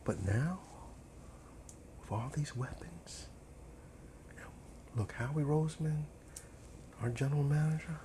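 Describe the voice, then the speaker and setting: A man's voice: a little high, expressive, slow pace, long pauses, noisy background. A man chatting casually in an ASMR cook-along style as he cooks, playfully repeating and drawing out his words. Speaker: host chatting while cooking. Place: home kitchen, indoors.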